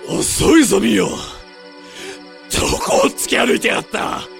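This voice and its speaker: A man's voice: high voice, lively intonation, moderate pace, creaky, heavily acted. A man playing a sadistic villain who taunts in mock-polite, theatrical speech in a Japanese amateur voice drama. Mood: impatient, commanding. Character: sadistic, politely menacing male villain hunter. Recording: loud music behind the voice.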